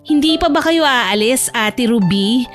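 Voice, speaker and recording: A woman's voice: fairly high pitch, animated, a little slow, soft background music. A woman voicing a sleepy, irritable young woman in a romance audio drama, whiny, grumbling and sarcastic.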